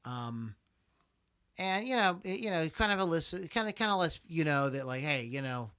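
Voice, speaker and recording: A man's voice: a little high, expressive, moderate pace, pushed and bright, smooth, no echo, studio quality. A man hosting a casual talk show, rambling loosely with lots of fillers, restarts and the odd curse. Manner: loose and profane. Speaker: podcast host. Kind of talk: casual chat about songs.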